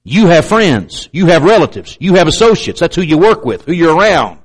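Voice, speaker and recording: A man's voice: big pitch swings, moderate pace, breathy edge, rough recording. A man preaching a sermon with emphatic, repetitive pulpit delivery and pointed asides to the congregation. He has a slight stutter and sometimes catches on a word. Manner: slight stutter, clamps up on words; acts out doubters in a raised pitch.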